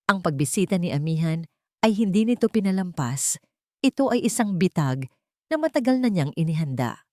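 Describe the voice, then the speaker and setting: A woman's voice: lowish voice, expressive, normal speed, mellow, no room sound, studio quality. A woman with a Filipino accent narrating a dramatic scripted story.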